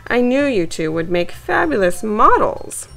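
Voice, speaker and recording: A woman's voice: on the high side, big pitch swings, measured pace, gravelly, a bit bright, soft background music, full character voice. A woman voicing a friendly, effusive male cat who is an artist in a storybook. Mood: delighted.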